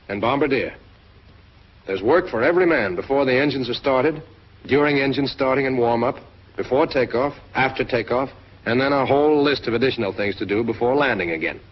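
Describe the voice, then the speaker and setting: A man's voice: medium pitch, slightly rough. A man giving scripted instructional narration for a training film, speaking straight to the listener in a direct, instructive way. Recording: dull lo-fi 1940s film soundtrack.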